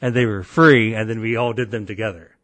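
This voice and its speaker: Rambling deep-voiced man on a podcast. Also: steady tone, fairly quick, fairly bright tone. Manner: rambling storyteller, many restarts and acted-out quotes. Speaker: podcast co-host. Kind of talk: casual podcast banter.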